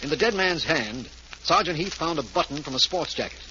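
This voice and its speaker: Earnest, higher-voiced district attorney. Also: male voice, expressive, a little fast, sharp tone. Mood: earnest, insistent. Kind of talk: old-time radio mystery acting. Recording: muffled, hissy old radio recording.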